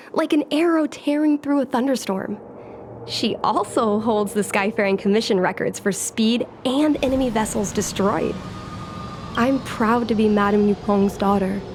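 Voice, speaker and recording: A woman's voice: a little high, slight background noise. A woman playing a spirited, earnest young fox-woman who dreams of piloting, in a video game. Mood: proud, wistful. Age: young adult. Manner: perky, expressive, earnest. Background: music.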